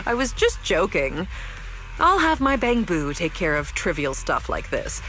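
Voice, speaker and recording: A woman's voice: fairly low pitch, sing-song, unhurried, fairly bright tone. An actress playing a playful, rebellious young woman researcher in a video game, teasing, breezy and sure of herself. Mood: teasing, upbeat. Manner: teasing, breezy, self-assured. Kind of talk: scripted video game story dialogue. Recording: music behind the voice.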